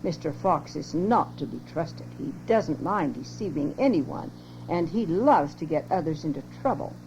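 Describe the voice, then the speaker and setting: A woman's voice: deep voice, expressive, normal speed. An older woman reading aloud, voicing a stern but caring mother bear in a storybook, on a lo-fi home cassette tape with hum. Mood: motherly.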